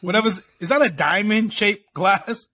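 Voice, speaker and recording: A man's voice: sits high, moderate pace, pushed and bright. A man co-hosting a podcast, teasing his friends and laughing often in casual chat. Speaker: podcast co-host.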